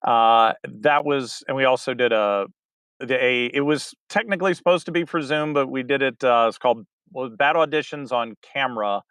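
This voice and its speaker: A middle-aged man with a medium-pitched voice talks in a loose, rambling way. He stops often to restart his sentences and fills the gaps with ums and uhs. The sound is dry and close. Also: fairly bright tone, smooth, no echo. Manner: rambling, halting, many fillers and restarts. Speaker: interview guest, theater director. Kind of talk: casual interview talk.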